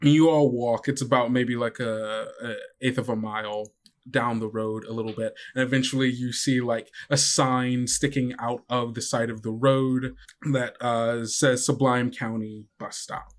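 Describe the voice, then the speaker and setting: Slow, halting male game master narrating a tabletop game. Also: mid-range voice, steady tone. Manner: halting, many fillers and restarts.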